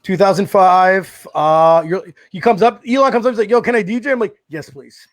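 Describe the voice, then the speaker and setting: A man hosting a casual podcast over a video call, excitable, laughing often and swearing casually. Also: high voice, normal speed. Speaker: podcast host. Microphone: home video call mic.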